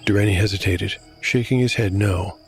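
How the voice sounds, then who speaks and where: A man with a low voice narrates dark fantasy in a measured, deliberate way. He works as storyteller and game master of a solo tabletop tale, with clear music behind him.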